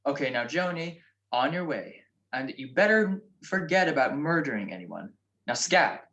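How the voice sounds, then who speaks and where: An actor playing a grumpy, wisecracking married gentleman sleuth with sardonic wit in a radio comedy-mystery. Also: fairly high pitch, lively intonation, moderate pace, lo-fi sound. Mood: mock stern.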